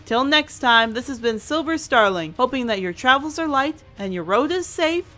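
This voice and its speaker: A woman's voice: high-pitched, animated, normal speed, bright, forceful tone. A woman hosting a scripted review, speaking in an earnest, emphatic way with somewhat formal phrasing. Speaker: host and reviewer. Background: background music.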